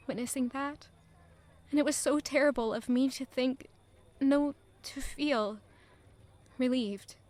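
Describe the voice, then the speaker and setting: Anxious young fantasy heroine, high voice, confiding and earnest, audio drama style. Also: female voice.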